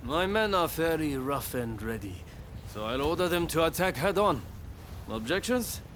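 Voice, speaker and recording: A man playing a brisk, blunt samurai commander in a video game cutscene, authoritative and matter-of-fact. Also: fairly high pitch, big pitch swings, a bit bright, slight background noise. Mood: businesslike.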